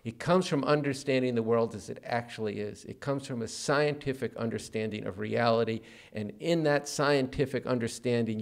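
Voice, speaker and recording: A man's voice: medium pitch, creaky, darkish tone. A man hosting a talk show in a conversational presenting style.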